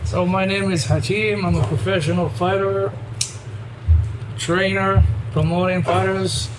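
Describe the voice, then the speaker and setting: Moroccan-accented guest in his 30s, fairly high voice, plain emphatic English, noisy recording. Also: steady tone, measured pace. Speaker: guest, professional fighter and trainer. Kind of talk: casual interview chat. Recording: noisy background.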